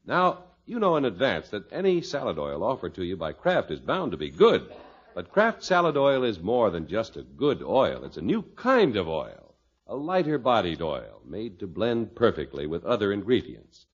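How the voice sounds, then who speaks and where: A male radio announcer giving an emphatic, old-time advertising pitch. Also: huge pitch swings, normal speed, slightly rough. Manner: emphatic, selling. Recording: muffled vintage radio recording.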